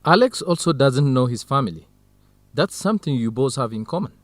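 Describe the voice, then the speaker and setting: A man's voice: medium pitch, animated, brisk, no echo, lightly acted. A man acting a friendly, inquisitive young man in a radio drama, with an encouraging way of talking. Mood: matter-of-fact.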